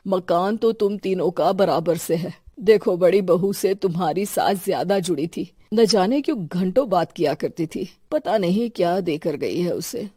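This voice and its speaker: Old widowed father-in-law, done by a woman, mellow, dry sound, gentle, resigned, cartoon. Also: lowish voice, measured pace, few pauses, slightly rough, dry recording. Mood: placating. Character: mild, giving elderly father-in-law, a widower.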